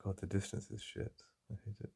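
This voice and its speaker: An English man speaking softly and intimately in a boyfriend ASMR roleplay, halting and heartfelt.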